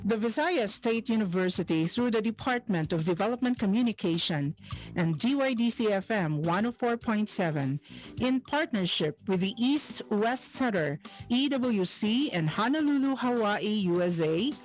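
A woman's voice: medium pitch, animated, normal speed, darkish tone, faint music behind. A woman with a Filipino accent reading scripted promotional narration as a radio announcer.

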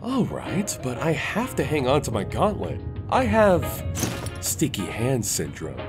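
An actor voicing a brash, good-natured young human fighter with an eager, goofy delivery. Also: male voice, medium pitch, wildly swooping pitch, measured pace, over music. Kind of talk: comic dub voice acting.

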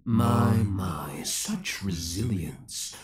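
A man's voice: sits low, expressive, very slow, breathy edge, heavily acted. A man playing an obsessive young villain in a roleplay audio drama, theatrical and formal, taunting with cold affection. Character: obsessive young male villain, possessive, menacing, coldly affectionate.

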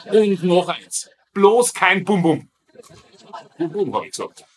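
An actor playing a flustered, obedient camp guard in a sitcom dub, blustering and folksy. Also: male voice, high voice, lively intonation, slow. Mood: exasperated, pleading. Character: flustered, whiny, obedient German camp guard, middle-aged. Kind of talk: dubbed sitcom acting.

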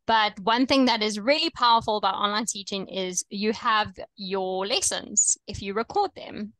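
A young woman with a South African accent, coaching online teachers over a video call in a relaxed, chatty way with frequent ums. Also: mid-range voice, moderate pace, no room sound, low-quality recording. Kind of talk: webinar presentation.

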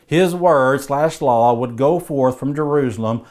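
A man in his forties preaching a scripted sermon, reading Bible verses in a measured, earnest way, recorded in an indoor study with bookshelves. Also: mid-range voice, darkish tone.

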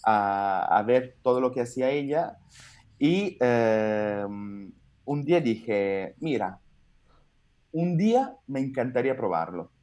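A man's voice: mid-range voice, very animated, takes it slowly, darkish tone. A man in his thirties from Italy, speaking with an Italian accent as a guest comedian, telling his story in a rambling way with many fillers and restarts over a video call from his home. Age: 30s. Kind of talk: casual interview chat.

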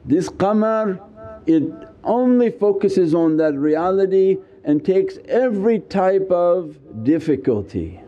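Older man, spiritual lecture, very warm dark tone, dull lo-fi recording, stand mic. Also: sits high, lively intonation, slow pace. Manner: gesturing, emphatic teaching delivery. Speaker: religious teacher. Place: indoors, seated on ornate couch before curtains. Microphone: small mic on stand in front.

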